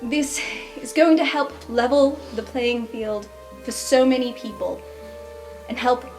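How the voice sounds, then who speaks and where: An earnest young speaker, played by a woman, delivers a formal thank-you in slow, deliberate phrases. Her voice sits a little high. Faint music lingers in the background. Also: lightly acted. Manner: measured and formal. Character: earnest young woman student giving a speech. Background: soft background music.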